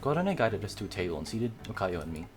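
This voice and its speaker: A man's voice: lowish voice, very animated, fast talker, over music. A man reading a visual novel aloud as a gamer, narrating the script. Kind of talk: read-aloud narration of a game script with light character voicing.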